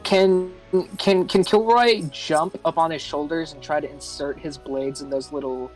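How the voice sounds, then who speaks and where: A man's voice: fairly high pitch, fairly even intonation, a little slow, a little mellow, soft background music, low-quality recording. A man playing an online tabletop game, thinking aloud hesitantly as he casually describes his character's moves. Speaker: player in a tabletop roleplay game. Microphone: online voice call.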